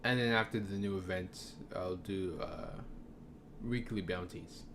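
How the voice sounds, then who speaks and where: A man's voice: low-pitched, measured pace. A man streaming a video game, thinking aloud in casual, rambling commentary full of fillers and hesitations. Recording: noisy background ambience.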